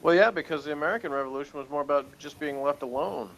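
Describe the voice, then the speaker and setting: A man co-hosting a casual review chat, thinking aloud haltingly with dry humor. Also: on the high side, fairly bright tone, rough recording. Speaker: co-host giving review opinions.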